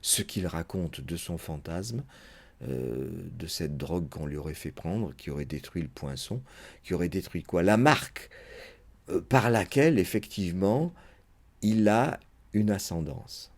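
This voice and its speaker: Brief: male psychoanalyst, very low husky voice, muses aloud through a lecture with many pauses and fillers. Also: expressive. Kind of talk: unscripted spoken lecture.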